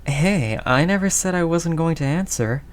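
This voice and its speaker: A man's voice: fairly high pitch, animated, normal speed, natural voice. A man playing a world-weary, doting mafia boss in an intimate roleplay, talking in teasing street slang with pet names. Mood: tender, teasing. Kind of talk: intimate scripted roleplay audio drama monologue.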